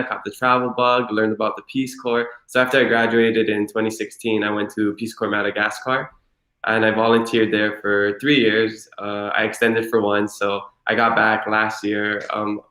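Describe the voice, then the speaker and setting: A man's voice: fairly low pitch, normal speed. Young man from Hilo, roomy sound. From Hilo, Hawaii. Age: 20s. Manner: relaxed, rambling with frequent "you know" and "like". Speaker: interview guest. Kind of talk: casual conversational storytelling.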